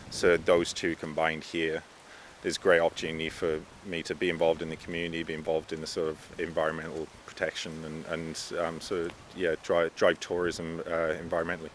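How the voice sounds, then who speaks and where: A man's voice: sits low, a bit bright, slight background noise. A man from Australia, a resort manager in a news interview, talking casually and hesitantly with fillers.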